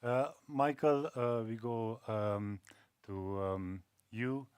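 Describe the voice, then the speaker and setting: Smooth-voiced moderator, hesitant. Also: male voice, on the low side, steady tone, slow, a little mellow, no room sound, studio quality. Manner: polite, hesitant with ums. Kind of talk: introducing panel speakers. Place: conference centre.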